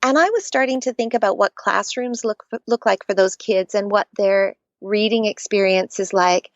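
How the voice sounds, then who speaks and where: A woman's voice: mid-range voice, normal speed, dry recording, lo-fi sound. A middle-aged woman from Canada with a Canadian accent, a guest author telling stories earnestly in a conversational interview. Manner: warm storytelling, earnest and animated.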